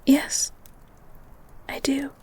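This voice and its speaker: A woman playing a shy, bookish young woman in a romantic audio roleplay, stammering and halting in a soft, breathy voice. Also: whispered. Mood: tender, pleading. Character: shy, flustered young bookish woman living in a beast's castle.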